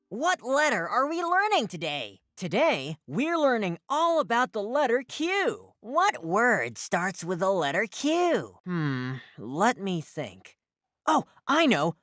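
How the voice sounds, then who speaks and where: A woman's voice: fairly high pitch, huge pitch swings, slow pace, fairly bright tone, no echo, studio quality, exaggerated voice. A woman voicing a cheerful, friendly host of a preschool learning cartoon, asking simple questions in a sing-song, teacherly way. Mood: cheerful, curious. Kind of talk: children's educational cartoon dialogue.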